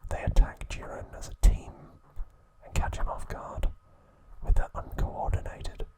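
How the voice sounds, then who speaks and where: A man hosting an ASMR video, recapping in a soft, unvoiced whisper. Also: a little slow. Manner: brief aside to his cat. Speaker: ASMR host.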